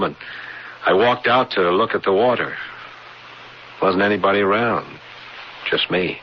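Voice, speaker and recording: Radio play: troubled man telling a boyhood memory, low register, halting and repetitive, dull noisy vintage sound. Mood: reflective. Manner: halting, stammering, repeating words. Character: haunted man recounting a frightening boyhood memory. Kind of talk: radio drama acting.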